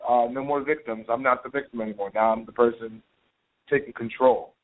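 Halting young man on a phone line. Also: medium pitch, steady tone. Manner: halting, repeats himself. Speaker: acting student caller. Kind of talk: casual phone interview. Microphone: telephone.

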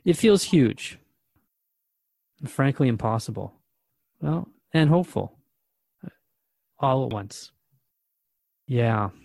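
A man's voice: mid-range voice, lively intonation, slow pace, vocal fry, pauses often, darkish tone, dry recording, heavily acted. A man playing an earnest radio host in a radio drama, talking in a thoughtful, hesitant, conversational way. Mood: earnest, unsettled. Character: Jeff, earnest radio host questioning a spirit.

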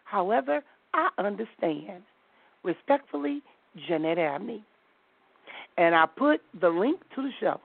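A woman hosts a call-in show over a thin, tinny phone line, her voice sitting a little high and swinging widely in pitch. She is blunt and outspoken and laughs easily. The sound is dry and close. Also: lively intonation, unhurried, vocal fry, no echo.

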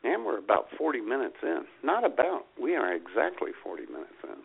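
A man with a creaky, mid-pitched voice that swings up and down co-hosts a radio show. He banters casually and wryly, and the audio is thin like a phone line. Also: huge pitch swings, fairly quick.

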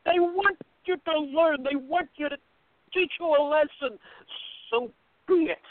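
A man's voice: very high voice, measured pace, dry recording. A man playing an anxious man who earnestly pleads with a friend, on a tinny phone-like line. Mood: pleading.

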